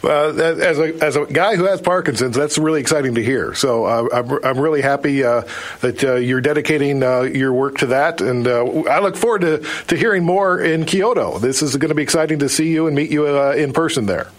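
A man's voice: a little high, fairly quick. A man hosting a podcast interview, chatting in a friendly way and laughing easily. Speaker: podcast host. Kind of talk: casual interview.

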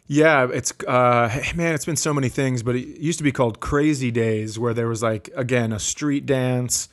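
A man, a comedian co-hosting a podcast, trading casual banter with dry, quick quips. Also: mid-range voice, fairly even intonation.

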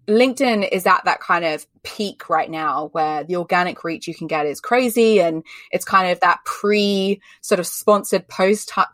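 A woman from Australia with an English accent answers interview questions in an emphatic, chatty way. Her voice sits a little low, and the recording is close and dry. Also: a bit bright, dry recording. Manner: emphatic, chatty, many "like" fillers. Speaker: guest, personal branding expert. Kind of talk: candid conversational interview answers.

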